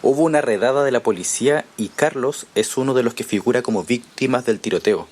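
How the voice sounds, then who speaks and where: An actor as a calm male journalist in an audio drama. He is diplomatic and composed, explaining and reassuring in a mid-pitched voice. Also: a little fast, lightly acted. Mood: grave, explanatory. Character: Néstor, calm, diplomatic journalist guiding an experimental procedure.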